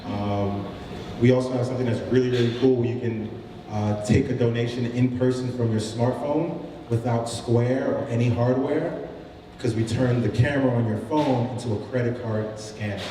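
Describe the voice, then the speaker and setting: A man who founded a startup gives an informal pitch talk to a live audience, with many fillers and restarts. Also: fairly even intonation, normal speed, noisy background. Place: event room with audience. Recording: roomy hall, mic from a distance.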